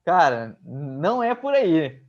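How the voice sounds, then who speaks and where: A man's voice: fairly high pitch, very animated, slow pace. A young man in his twenties with a Brazilian accent, giving a slangy, casual review as co-host. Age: 20s. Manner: slangy, colloquial. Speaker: co-host of a comics review channel. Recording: slightly dull, lo-fi sound.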